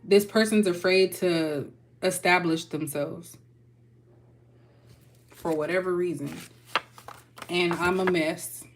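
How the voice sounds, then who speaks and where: Casual young female reader with a Texas twang, low-pitched, folksy and loose. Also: steady tone, takes it slowly, pauses often, slight background noise. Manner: chatty, loose, folksy with y'all. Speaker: tarot reader. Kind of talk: casual spiritual reading.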